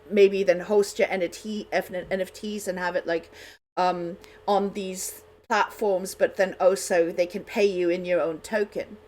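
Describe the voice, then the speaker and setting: A woman's voice: lowish voice, a little mellow, low-quality recording. A woman hosting a radio show in casual, unscripted chat, talking in a chatty, rambling way.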